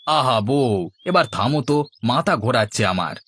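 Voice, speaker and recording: A man's voice: mid-range voice, animated, normal speed, fairly bright tone, breathy edge. A man voicing an easygoing, henpecked village husband in a cartoon, speaking in a placating, weary way. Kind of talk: Bangla cartoon dialogue.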